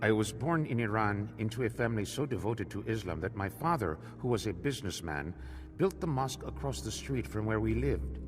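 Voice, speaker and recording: A man's voice: fairly low pitch, expressive, fairly quick, in a large hall, close to an everyday voice. An actor playing an earnest, defiant Iranian man in a radio drama, at times narrating his own story. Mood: reflective. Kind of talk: radio drama acting and first-person narration.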